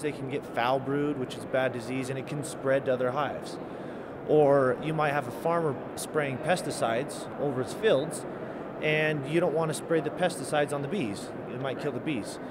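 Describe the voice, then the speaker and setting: A middle-aged man explains a bill at mid pitch, earnest and halting, with plenty of uhs and restarts. He speaks into a handheld interview mic in a big domed rotunda, and loud hall noise runs under every word. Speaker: state legislator. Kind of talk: on-camera interview. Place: large domed capitol rotunda, indoors. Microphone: handheld mic held by interviewer.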